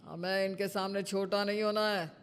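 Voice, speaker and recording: A woman's voice: mid-range voice, steady tone, moderate pace, clear, resonant tone, lightly acted. A woman voicing a proud, stubborn older family patriarch in a cartoon moral story, commanding and unyielding. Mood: stern, scheming.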